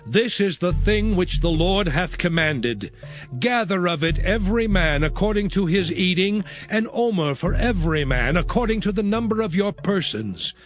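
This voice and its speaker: A man's voice: high-pitched, animated, normal speed, soft background music. An actor playing an earnest male prophet instructing his people, declaiming with authority in a dramatized scripture reading. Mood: earnest, authoritative.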